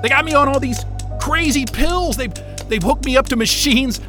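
A man playing a troubled, obsessive astrophysicist unravelling alone at a polar station, intense and halting in a dramatic trailer. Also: extremely high pitch, music in the background. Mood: desperate.

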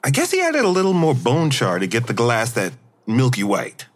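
An easygoing friend in a fiction podcast. He is offhand and deadpan in wording, yet his pitch jumps around a great deal. The delivery stays casual and natural. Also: moderate pace. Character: Wesley, laid-back deadpan male friend. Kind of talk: naturalistic audio drama acting.

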